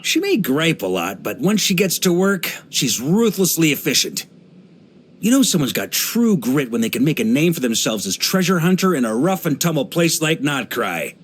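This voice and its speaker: An actor playing a hearty middle-aged knight grandmaster in a video game, an easygoing adventurer who talks in a wry, conversational way. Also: male voice, on the high side. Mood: admiring, easygoing. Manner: relaxed, wry, conversational, with chuckles and musing pauses. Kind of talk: video game character voice lines.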